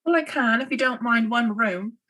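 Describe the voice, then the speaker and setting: A woman playing a practical, matter-of-fact farm aunt who gives brisk orders in a radio play. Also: on the high side, sharp tone.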